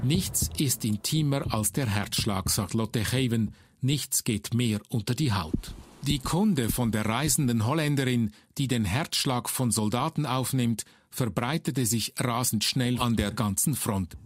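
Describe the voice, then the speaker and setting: A man's voice: mid-range voice, a little slow, husky. Man narrating a news report.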